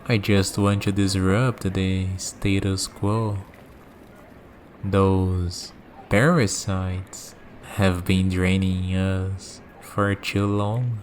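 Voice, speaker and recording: A man acting as a cynical male villain who holds godlike power, in an ASMR roleplay. He speaks very slowly in a low voice, measured and calm, leaving dramatic pauses. Noticeable urban background noise sits behind him. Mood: cold, contemptuous.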